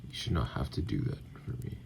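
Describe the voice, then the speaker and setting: A man voicing a wounded, weary, distrustful vampire in an ASMR roleplay, speaking hesitantly in formal, guarded wording with a gravelly, sometimes breathy voice. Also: fast talker, a little mellow, a little hiss or hum, close to an everyday voice. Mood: reluctant, weary. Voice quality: very deep, gravelly, breathy at times. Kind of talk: ASMR roleplay audio acting.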